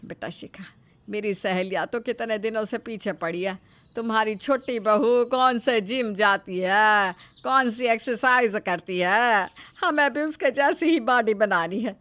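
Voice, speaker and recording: A woman's voice: fairly high pitch, unhurried, fairly bright tone. A woman voicing a blunt, teasing older mother-in-law in a family story, taunting and gossipy. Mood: admiring.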